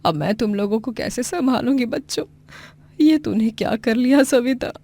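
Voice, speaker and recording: A woman acts out a distraught mother in a moral tale. Her voice is high and melodramatic, heavy with self-blame. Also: moderate pace, warm tone. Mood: distraught, guilty. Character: burned, self-blaming mother of five daughters, distraught. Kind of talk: melodramatic story acting.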